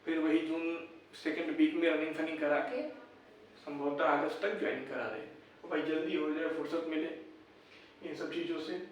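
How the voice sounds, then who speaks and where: High-voiced man in a very echoey room. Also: fairly even intonation, normal speed, a little hiss or hum. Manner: blunt, argumentative, rhetorical questions. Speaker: YouTube exam-update host. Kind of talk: informal opinionated commentary. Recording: echoey room, distant mic.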